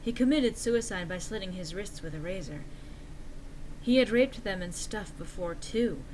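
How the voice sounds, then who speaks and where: A woman narrates fan fiction at a middle pitch. Her delivery is theatrical and exaggerated, played mock-earnest. Faint noise sits behind the voice. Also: expressive, moderate pace, darkish tone, poor audio. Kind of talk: dramatic reading of fan fiction.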